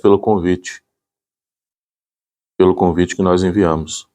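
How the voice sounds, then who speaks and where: Low-voiced man explaining informally. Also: fairly even intonation, takes it slowly, mellow. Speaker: volunteer presenter of a community project.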